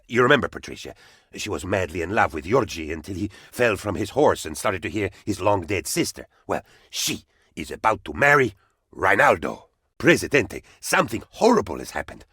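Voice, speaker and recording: An actor voicing an excitable, devoted, scatterbrained aide to an island dictator, theatrical and eager to please, in a video game. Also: male voice, on the low side, breathy edge, no room sound, clean studio sound, full character voice. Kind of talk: video game character voice lines.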